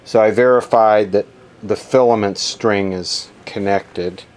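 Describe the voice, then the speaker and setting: A man's voice: fairly low pitch, fairly even intonation, unhurried, darkish tone. A man giving a casual, matter-of-fact running commentary as he does hands-on repair work, thinking aloud. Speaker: electronics repair video host.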